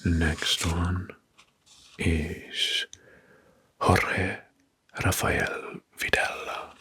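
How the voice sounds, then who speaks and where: A man narrating facts as ASMR in a hushed, breathy whisper, halting and softly repeating words. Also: low voice, flat delivery. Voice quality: breathy, mostly unvoiced whisper.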